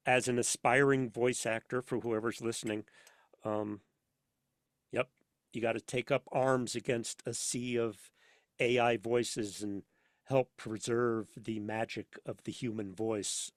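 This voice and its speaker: Male podcast guest, mid-range voice, dry sound. Halting, rambling conversational delivery with theatrical emphasis. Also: mid-range voice, dry recording. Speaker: podcast guest, audio drama voice actor and sound editor. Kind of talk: casual interview chat.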